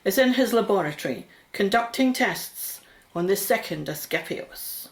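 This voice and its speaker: A woman's voice: medium pitch, lively intonation, normal speed, faint music behind, rough recording. A woman reading scripted narration for a radio drama.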